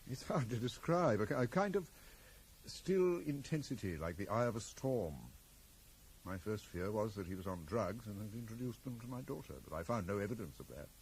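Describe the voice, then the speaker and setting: Uneasy, low-voiced, protective father. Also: animated, a little mellow. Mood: uneasy, brooding. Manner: hesitant, deliberate, formal. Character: uneasy, protective middle-aged father of a grown daughter. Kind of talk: 1980s radio drama acting. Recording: slightly noisy old radio broadcast recording.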